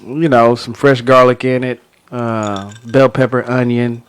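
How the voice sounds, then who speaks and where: A man's voice: medium pitch, little pitch movement, a little slow, smooth. A man co-hosting a podcast in laid-back, slangy casual chat. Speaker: podcast co-host.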